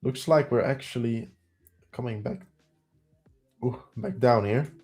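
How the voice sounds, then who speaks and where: A man's voice: mid-range voice, a little slow, a little mellow. A man with a heavy Belgian accent hosting a live trading stream, thinking aloud as he counts through trades and results.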